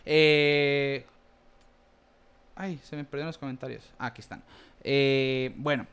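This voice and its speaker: A man co-hosting a casual baseball podcast, reading listener comments with quick asides. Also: mid-range voice, steady tone, fairly bright tone, clear, resonant tone. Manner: chatty, reads comments aloud with quick asides and laughs.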